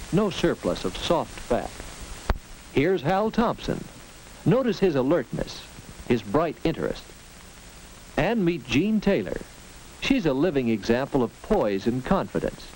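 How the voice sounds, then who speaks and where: A man's voice: on the high side, sing-song, measured pace, a little mellow, low-quality recording. A man with an American accent reads scripted narration in the instructive style of a mid-century educational film, speaking directly to the viewer. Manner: instructive, clearly addressing the viewer. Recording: old film soundtrack with hiss, clicks.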